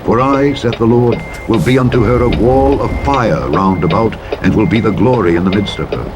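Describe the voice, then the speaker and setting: A man reads the King James Bible aloud as a narrator, in a solemn, deliberate, declamatory style with measured phrasing. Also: lowish voice. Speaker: scripture narrator. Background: truck driving sounds.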